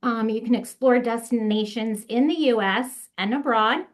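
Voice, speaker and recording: A woman presenting on a video call, reading prepared notes at an unhurried pace with occasional fillers. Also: on the high side. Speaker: association staff presenter. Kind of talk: webinar presentation.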